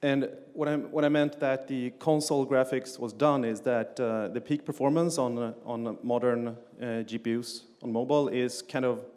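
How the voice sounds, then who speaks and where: A man's voice: mid-range voice, fairly even intonation, moderate pace, few pauses, darkish tone, smooth. Man lecturing in a reverberant hall. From Sweden. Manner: frequent "uh" fillers and restarts, plain explanatory delivery. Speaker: conference speaker, game engine developer. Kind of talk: technical conference talk. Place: conference hall on stage.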